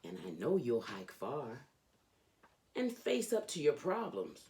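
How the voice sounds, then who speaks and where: A woman reading a children's storybook aloud as host, with theatrical, emphatic delivery and drawn-out phrases. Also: a bass voice, wildly swooping pitch, dark tone.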